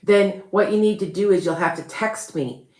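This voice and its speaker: A woman's voice: medium pitch, moderate pace, darkish tone, roomy sound. A woman with a Chicago accent, hosting a rambling, chatty live stream as a psychic reader and laughing often. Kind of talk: casual live-stream chat.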